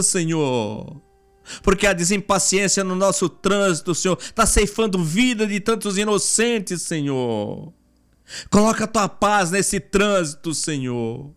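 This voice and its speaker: A Brazilian man with a notably high voice leads an extemporaneous prayer. He pleads fervently, punctuating his phrases with repeated invocations. Music plays behind him. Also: a bit bright, clean tone.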